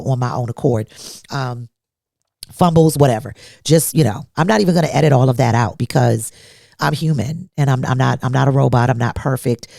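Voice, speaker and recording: A woman's voice: a bass voice, creaky, warm tone, no room sound, clean studio sound. A woman hosting a solo talk podcast, emphatic and blunt, asking rhetorical questions, a little congested. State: congested from allergies. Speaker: podcast host.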